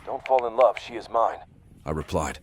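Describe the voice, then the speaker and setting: Man playing a low-voiced brooding stalker. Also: expressive, normal speed. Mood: brooding, detached. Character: brooding male stalker narrating his nightly watch. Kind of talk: scripted first-person narration, audio drama.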